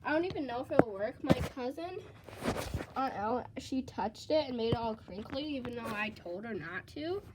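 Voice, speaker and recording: A teenage girl vlogging casually with a stuffy, congested voice from a cold, chatty and excitable. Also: fairly high pitch, moderate pace, heavy background noise. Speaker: slime vlogger. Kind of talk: casual vlog chat.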